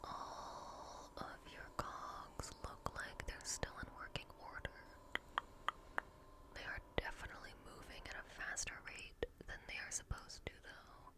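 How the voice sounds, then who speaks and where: Whispering woman in a soft ASMR roleplay. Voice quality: breathy whisper. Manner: gentle, reassuring, unhurried. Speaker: ASMR roleplay performer playing a repair technician.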